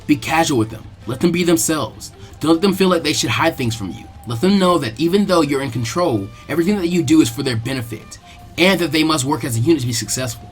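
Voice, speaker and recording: A man's voice: a little high, expressive, quick pace. A man reading fan fiction as a dramatic audiobook-style narration. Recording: music behind the voice. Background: music.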